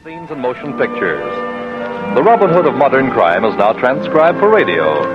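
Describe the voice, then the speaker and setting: An actor as a grand, dramatic announcer in 1940s radio style. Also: male voice, medium pitch, wildly swooping pitch, normal speed. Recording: muffled old radio, loud music.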